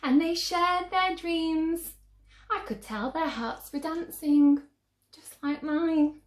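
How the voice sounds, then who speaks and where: A woman reading a picture book aloud to children with theatrical, drawn-out emphasis. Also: very high-pitched, reverberant. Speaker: children's story reader.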